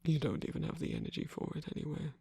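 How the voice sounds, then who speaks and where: A performer of unclear sex voicing a seductive villain lover in an intimate roleplay ASMR, teasing and protective, with a husky, breathy, often whispered voice. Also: medium pitch, wildly swooping pitch, racing, warm tone, full character voice. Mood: playfully teasing.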